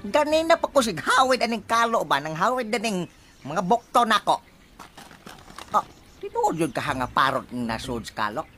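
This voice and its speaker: A man's voice: sits high, big pitch swings, moderate pace, pushed and bright, soft background music, exaggerated voice. An actor playing a nosy, suspicious village captain in a broad comic radio skit, blustering through his questions. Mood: puzzled.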